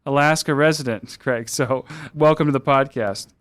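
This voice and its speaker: A man hosting a podcast, asking long, measured questions of his guest. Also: mid-range voice, fairly bright tone, dry recording. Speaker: podcast host. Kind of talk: interview questions.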